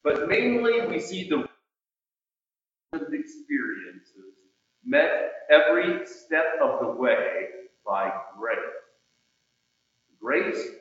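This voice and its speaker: A male preacher with a fairly high-set voice speaks slowly and deliberately, giving weight to his phrases. He is heard from a distance in a very reverberant room as he delivers his sermon. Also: wildly swooping pitch, fairly bright tone. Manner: measured, deliberate phrasing with emphatic pauses. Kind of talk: sermon with read-out quotations. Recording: echoey room, distant muffled mic.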